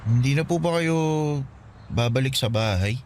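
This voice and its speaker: A man's voice: mid-range voice, expressive, normal speed, a little hiss or hum, lightly acted. An actor playing a hurt, bitter and stubborn boy in a radio drama. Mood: hesitant, subdued. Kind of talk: Tagalog radio drama acting.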